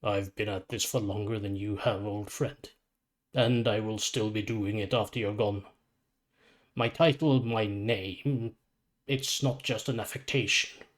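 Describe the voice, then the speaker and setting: Guy doing a calm old death-guide supervillain for a story, measured n formal, kinda quiet and matter of fact. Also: fairly low pitch, natural voice. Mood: calm, unbothered. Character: calm, weary, ancient psychopomp supervillain, death's courteous guide.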